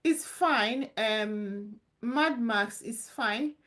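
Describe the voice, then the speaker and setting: Woman, live Q&A host, casual and reassuring, fairly high voice. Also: very slow, fairly bright tone. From Nigeria. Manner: chatty, loose and repetitive, reassuring. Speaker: live stream host answering visa questions.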